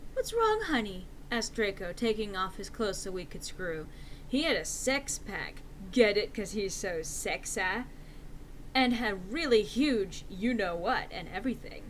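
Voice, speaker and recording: A woman's voice: on the high side, fairly bright tone, slight background noise, poor audio. A woman narrating a fan fiction story as a theatrical, mock-serious dramatic reading.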